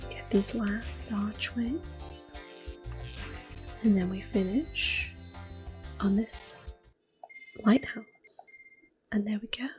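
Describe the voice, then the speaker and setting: A young woman giving calm, soft-spoken ASMR commentary on a game she is playing, drifting often into close whispers with gentle fillers. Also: a little high. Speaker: ASMR gaming host. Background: faint video game music.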